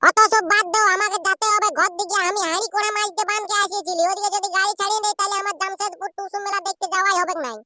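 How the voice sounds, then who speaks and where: A man's voice: very high voice, level tone, rapid-fire, hardly any pauses, background noise. A man voicing a bustling, boastful village woman in a comic cartoon, with a Purulia accent and a bossy, repetitive way of chattering. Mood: impatient.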